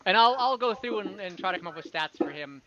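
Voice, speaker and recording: A man's voice: high voice, lively intonation, fast talker, highs cut off, a crowd in the background. A man narrating an online tabletop game as game master, hesitant and thinking aloud as he goes.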